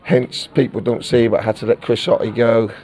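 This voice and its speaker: A middle-aged football manager speaks frankly after a match. His voice sits at an ordinary middle pitch, and he repeats words and restarts his sentences as he works through his thoughts. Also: level tone, brisk, a little mellow. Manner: candid, repeats words while searching for them. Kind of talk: post-match interview.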